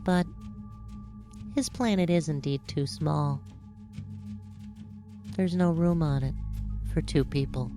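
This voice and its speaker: A man voicing a slow, high-voiced little boy prince. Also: over music. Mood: wistful. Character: the little prince, a gentle thoughtful young boy.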